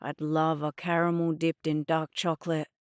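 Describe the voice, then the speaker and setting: A woman's voice: sits low, level tone, normal speed, clear, resonant tone, dry recording, studio quality, natural voice. A woman voicing a smug, teasing young man in dramatised audiobook dialogue, playful and mock-innocent. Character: smug, teasing, bargaining young male family friend.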